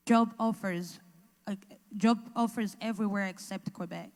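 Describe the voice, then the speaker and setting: Woman interpreting haltingly in a hall. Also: medium pitch, steady tone, darkish tone. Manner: halting, restarts while translating. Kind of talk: consecutive interpretation into English. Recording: echoey hall.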